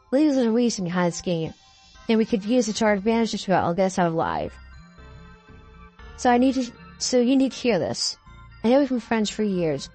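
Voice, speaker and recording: Woman as a calm, shrewd young maid, music behind. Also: mid-range voice, natural voice. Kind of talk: visual novel dialogue reading. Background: background music.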